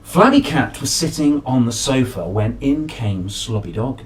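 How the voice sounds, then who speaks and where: Guy reading a kids book at his desk, super animated, dramatic, faint room noise. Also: mid-range voice. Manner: playful, dramatic, drawn-out emphasis. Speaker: presenter reading picture books aloud. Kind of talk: animated children's story reading. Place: small room at home, at a desk.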